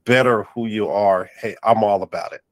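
A man's voice: on the low side, moderate pace, dry recording. A man hosting an interview podcast, sounding nasal and congested, with affirming and encouraging talk. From North Carolina. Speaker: podcast host. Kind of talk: casual interview chat.

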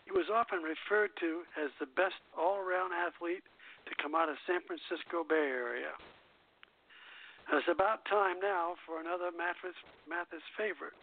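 A man hosting a radio show, reading a biography script in a halting way with stumbles and repeated words. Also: fairly high pitch. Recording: narrow tinny phone-line internet radio sound.

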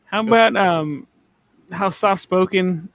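Male co-host, notably high-pitched, loose joking delivery, informal conversational talk. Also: slow pace, fairly bright tone. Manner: joking, slangy, addresses others as dude. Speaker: podcast co-host. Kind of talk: casual chat.

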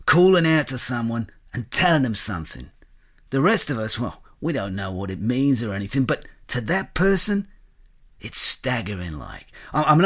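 A man plays a friendly working-class bloke in a radio play. He chats in loose, colloquial phrases, sceptical and down to earth, with a very animated, up-and-down melody. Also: medium pitch. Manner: colloquial, chatty. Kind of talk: radio drama acting.

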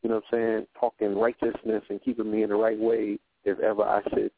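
A man talking in a relaxed, reflective way on a radio roundtable, often stopping to ask "you know what I'm saying". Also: lowish voice, fast talker, gravelly, no room sound. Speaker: radio show guest. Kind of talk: casual roundtable talk. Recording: phone-like narrow line.

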